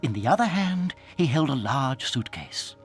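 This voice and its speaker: A man with a British accent narrating a dramatized children's story in a suspenseful, theatrical way that can drop toward a hush. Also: mid-range voice, big pitch swings, normal speed, soft background music. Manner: dramatic, suspenseful, hushing to near whispers.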